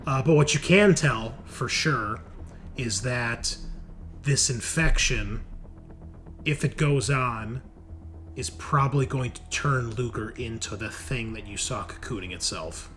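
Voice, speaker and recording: A man running a tabletop horror game as game master, improvising narration in a halting, conversational way and thinking aloud. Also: medium pitch, a bit bright. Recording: noticeable background noise.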